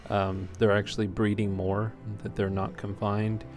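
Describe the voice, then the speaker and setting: Low-voiced man doing casual game commentary. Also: flat delivery, normal speed, music in the background. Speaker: let's play host. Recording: clearly noisy home recording.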